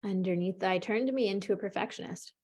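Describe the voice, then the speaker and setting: A woman coaching an emotional tapping session, calmly leading her client through short phrases to repeat, in an encouraging way. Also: medium pitch, fast talker, breathy edge. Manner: calm, encouraging, leads with short prompts.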